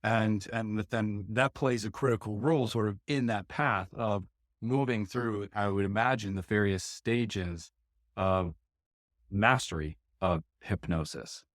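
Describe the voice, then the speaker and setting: Low-voiced man hosting a podcast. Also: a little slow, no echo, clean studio sound. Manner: rambling, searching for words, many "right?"s. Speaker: podcast host. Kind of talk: podcast interview, reflective questioning.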